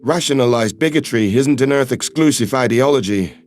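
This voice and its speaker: A man voicing a calm, earnest human man who speaks in firm, measured, reassuring tones. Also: medium pitch, pitched below the performer's own voice, close to an everyday voice. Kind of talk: audiobook character voice.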